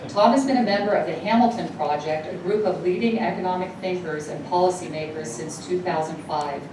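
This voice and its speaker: A woman's voice: fairly low pitch, a little fast, recorded from a distance. A woman whose first language is Dutch, introducing and then interviewing a guest before an audience at a university event. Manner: reads the introduction, stumbles and laughs it off. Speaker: host. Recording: echoey hall picked up from afar, noisy.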